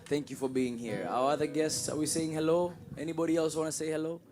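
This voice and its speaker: A man's voice: a little high, fairly even intonation, fast talker, loud music behind the voice. A man hosting a church service, giving welcomes and announcements in an exhortatory way, repeating words and calling for responses. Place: church with congregation.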